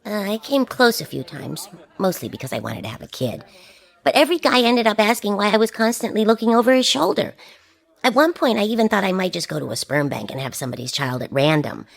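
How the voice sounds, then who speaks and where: Casting: regretful scientist turned TV presenter, hesitant and confiding, mid-range voice with lively inflection, radio comedy. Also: female voice, mid-range voice, brisk, creaky. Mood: wistful, regretful. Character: wistful, regretful woman astrophysicist turned television presenter. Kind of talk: comic science fiction radio drama.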